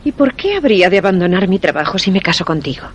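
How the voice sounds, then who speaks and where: A woman's voice: on the low side, big pitch swings, fast talker, slight background noise. An actress playing an enigmatic, guarded young woman in a radio play, speaking tersely and evasively but firmly. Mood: quietly firm. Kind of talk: Spanish radio play acting.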